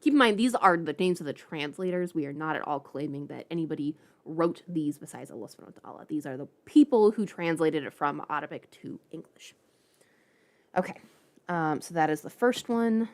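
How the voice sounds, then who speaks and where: Female streamer, slightly low voice, informal and playful, talking off the cuff to viewers. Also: lively intonation, brisk. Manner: playful, informal, chatty asides. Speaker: livestream host. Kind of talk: casual livestream chat.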